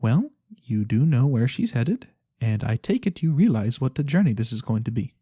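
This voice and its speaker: A performer voicing a mysterious, courteous fantasy lorekeeper in a soft-spoken ASMR roleplay, breathy and theatrical with knowing pauses. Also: mid-range voice, sing-song, dry recording, studio quality. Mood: warm, courteous. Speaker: storyteller character.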